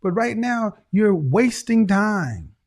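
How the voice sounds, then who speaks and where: A man's voice: sits high, very animated, slow, vocal fry. A middle-aged man hosting a call-in advice show, lecturing a caller in a harsh, repetitive way over a video call. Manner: harsh, lecturing, repeats phrases. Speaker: host.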